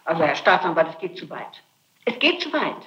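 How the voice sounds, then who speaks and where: A woman's voice: fairly low pitch, big pitch swings, brisk, a bit bright. A woman answering as an accused suspect under interrogation, insistent and emphatic, repeating her denials. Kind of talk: interrogation testimony. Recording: muffled echoey archival interrogation tape.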